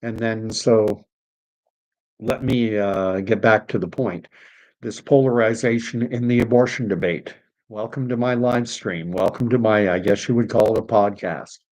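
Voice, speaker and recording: A middle-aged man from Canada hosts a live stream, reading viewer comments aloud and drifting off topic. His voice sits on the low side and is tiring, hoarse from talking for an hour. He hesitates often, filling gaps with ums and uhs. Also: a little slow, a little mellow, poor audio. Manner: rambling, hesitant, many fillers. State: losing his voice.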